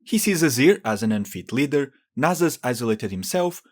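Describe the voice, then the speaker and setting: A man's voice: medium pitch, sing-song, normal speed. A man presenting a video in scripted explainer narration, with informal asides.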